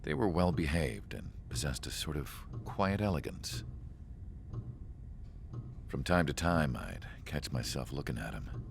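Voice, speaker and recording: An actor playing a reflective, observant man recounting his memories in measured, literary narration for a video game cutscene. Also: a bass voice, very animated, gravelly, background noise, exaggerated voice. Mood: reflective, solemn.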